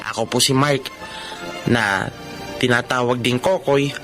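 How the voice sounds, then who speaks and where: A man's voice: medium pitch, fairly even intonation, unhurried, natural voice. An actor playing an earnest, encouraging man introducing his life story in a radio drama. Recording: music behind the voice.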